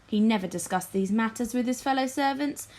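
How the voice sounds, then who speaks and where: A woman reading a children's story aloud in an expressive, teacherly narration. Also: on the high side, fairly quick.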